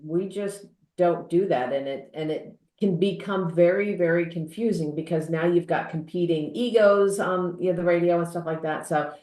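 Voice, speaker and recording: A woman talks in a casual panel discussion. Her voice sits a little low, and the recording is roomy with clear echo. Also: moderate pace, hardly any pauses, darkish tone. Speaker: podcast panelist. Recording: roomy, echoing sound.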